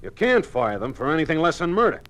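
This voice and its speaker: An actor playing an embittered middle-aged oil company boss with an American accent, in 1950s radio drama style. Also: male voice, fairly high pitch, sing-song, fast talker, fairly bright tone. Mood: bitter. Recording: noisy old 1950s radio recording.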